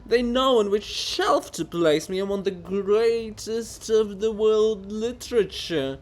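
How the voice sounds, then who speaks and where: A man's voice: high-pitched, takes it slowly, pitched above the performer's own voice. A man voicing a vain, fanatical monument come alive in a dramatic stage farce, declaiming pompously and theatrically. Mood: scornful boasting. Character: ranting, grandiose Bulgakov monument, vain and fanatical.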